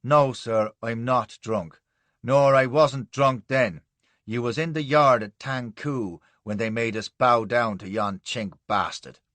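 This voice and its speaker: A man voicing a sly, insolent Irish soldier with a soft, whiny brogue. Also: mid-range voice, slow pace, no room sound. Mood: defiant.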